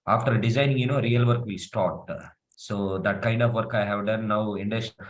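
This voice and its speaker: Hesitant man from India, low voice. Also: fairly even intonation, normal speed. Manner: hesitant, many fillers. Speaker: prospective student. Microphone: video call.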